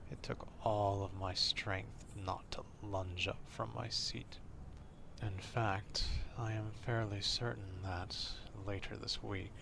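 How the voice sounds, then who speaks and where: A man voicing an obsessive, courtly vampire stalker in an ASMR roleplay, speaking formally and deliberately in a confiding, half-whispered way. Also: deep voice, vocal fry, background noise, heavily acted. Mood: hungry, restrained.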